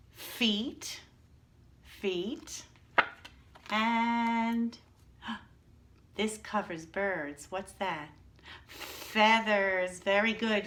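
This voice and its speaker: A woman teacher leading young children through letters and words, speaking slowly and deliberately. Her voice is bright and forceful, and she keeps asking the kids to say each word with her and praises them. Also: mid-range voice. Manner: encouraging, slow and drawn out, calls for repetition. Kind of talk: early-reading lesson for young children.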